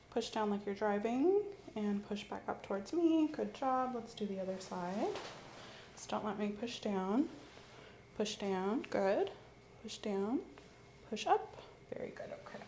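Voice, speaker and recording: A woman doing an ASMR medical roleplay as an examining clinician, giving calm, gently coaxing clinical instructions. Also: mid-range voice, unhurried. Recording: roomy echo, faint background hiss.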